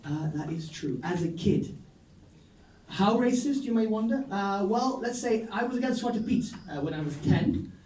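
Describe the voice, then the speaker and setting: Wry high-voiced comedian, echoey club. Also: male voice, normal speed. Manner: dry, ironic storytelling with deadpan asides. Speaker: stand-up comedian. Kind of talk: stand-up set. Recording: echoey room, voice from a distance.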